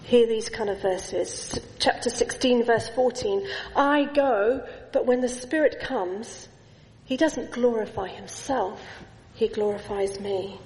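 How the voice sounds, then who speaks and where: Slow-speaking woman preaching in echoey church. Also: fairly high pitch, darkish tone, a little hiss or hum. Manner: measured, with slow emphatic lists. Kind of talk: sermon.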